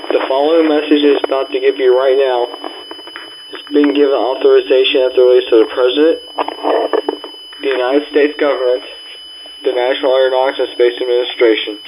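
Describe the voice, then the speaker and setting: A man's voice: medium pitch, fairly even intonation. Male amateur announcer for mock doomsday alert, stumbling read, mid-range voice, noisy humming recording. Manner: halting, stumbling over words and repeating phrases. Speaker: amateur emergency broadcast announcer playing a president's address.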